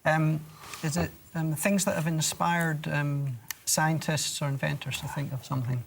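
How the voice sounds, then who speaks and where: A man from Scotland captaining a quiz team, thinking aloud haltingly with many fillers. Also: on the high side, little pitch movement, gravelly, slight background noise. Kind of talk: quiz answering under time pressure. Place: television studio.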